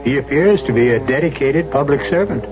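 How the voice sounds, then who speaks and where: A man hosting a radio mystery series, narrating dramatically in a deliberate, grave, rhetorical manner. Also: mid-range voice, lively intonation. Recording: dull old radio broadcast. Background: music.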